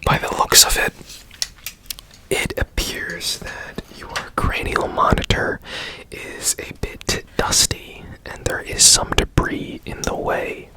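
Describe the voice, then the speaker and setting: A man whispering in a breathy, deliberate way up close to the mic, in an ASMR roleplay as a mysterious repairman. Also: sharp tone, poor audio. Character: strange secretive man repairing a broken robot. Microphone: close ASMR microphone.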